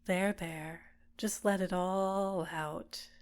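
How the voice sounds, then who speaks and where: A woman playing a tenderly possessive, manipulative villain captor in an intimate ASMR roleplay, speaking in a soft, soothing, deliberate way. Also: lowish voice, fairly even intonation, clean tone.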